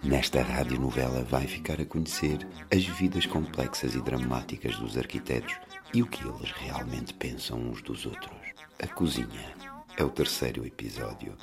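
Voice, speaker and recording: A man's voice: rumbling low, normal speed, creaky. A man reading the scripted introduction of a radio soap opera as its announcer. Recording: music behind the voice.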